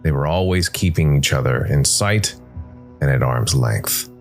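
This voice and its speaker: A man hosting and narrating a scripted story podcast in a measured way, with dramatic pauses. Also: extremely low pitch, animated. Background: soft music bed.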